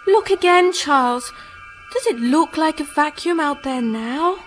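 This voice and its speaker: An actress playing a serene, alluring otherworldly moon woman in a radio drama, gentle, coaxing and unhurried in manner. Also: high voice, lively intonation. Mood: gently coaxing. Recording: music behind the voice.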